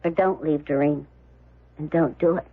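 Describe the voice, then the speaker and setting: A woman's voice: low voice, normal speed, slightly rough. A woman acting a jaded, blunt, defiant character in an old radio drama. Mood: sly, cool. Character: jaded, defiant, frank woman with tough edge. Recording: muffled, narrow-band old radio broadcast.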